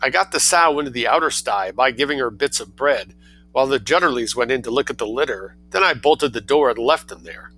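A man's voice: medium pitch, expressive, brisk, bright, forceful tone, rough recording. A man voicing a scheming little boy who sounds sweet but speaks in a cheeky, matter-of-fact way. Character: cunning angelic-seeming little boy.

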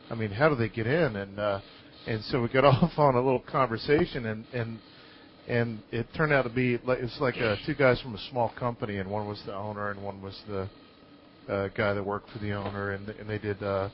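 A man talking casually on a live talk show, telling anecdotes in a halting way and often repeating words. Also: lowish voice. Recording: dull lo-fi audio with clear noise.

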